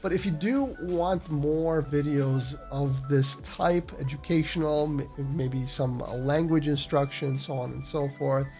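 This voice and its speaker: A man hosting a travel video, explaining restaurant phrases in a relaxed, chatty way with asides and fillers. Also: on the high side, unhurried, few pauses, soft background music. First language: not Japanese. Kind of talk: casual instructional talk to camera.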